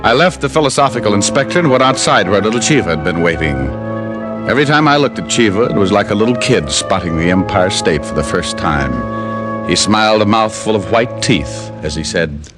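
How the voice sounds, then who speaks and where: A man's voice: fairly low pitch, expressive, a little fast, natural voice. An actor playing a wry, unflappable private detective who narrates his own case in old-time radio style. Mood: narrating coolly. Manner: wisecracking, clipped. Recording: old radio drama, music behind.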